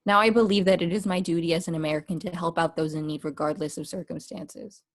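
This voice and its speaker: Male truck driver, folksy, high-minded, earnest and pompous; played by a woman at a table read. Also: fairly even intonation, quick pace, close to an everyday voice.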